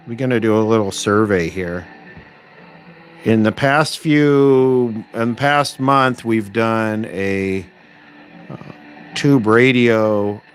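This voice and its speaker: Guy with a slightly deep voice doing a rambly repair livestream, wry, stops and starts, bit noisy. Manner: rambling, halting, thinking aloud. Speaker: livestream host, electronics repair hobbyist. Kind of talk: casual unscripted livestream chat, reading and answering viewer requests. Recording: clear steady background noise.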